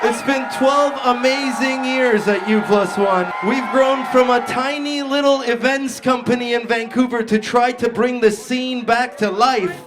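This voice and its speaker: A man hyping up a crowd as a DJ, brash and fired up, swearing as he shouts his lines. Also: extremely high pitch. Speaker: DJ addressing a crowd. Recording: live club with crowd and loud music.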